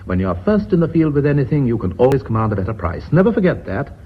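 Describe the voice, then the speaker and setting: An actor playing a genial, pompous company chairman with a British accent, formal and measured, in a radio drama. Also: mid-range voice, big pitch swings, slightly rough. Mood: genial, praising. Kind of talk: BBC radio drama acting. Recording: noisy, dull old radio recording.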